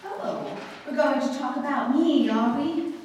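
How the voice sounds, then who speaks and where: A woman playing a haughty, sharp-witted young society heiress in an old-time radio comedy, brisk and imperious. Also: high voice, highs cut off. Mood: irritated, sarcastic. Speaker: actor in a live radio play. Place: live stage production before microphones. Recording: very echoey hall, distant mic, hissy.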